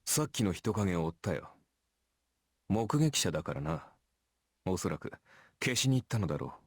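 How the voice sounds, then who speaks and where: Husky, animated warrior. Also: male voice, low voice, fast, no room sound, studio quality, full character voice. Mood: dry, calm. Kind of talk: Japanese anime visual novel voice acting.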